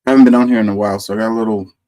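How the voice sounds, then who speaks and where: A man's voice: on the low side, fairly even intonation. A man hosting, reading an earnest civic voice-over and chatting casually with his audience, saying "y'all". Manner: earnest and measured in narration, loud and chatty when live. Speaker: host. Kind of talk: scripted voice-over narration and casual live talk.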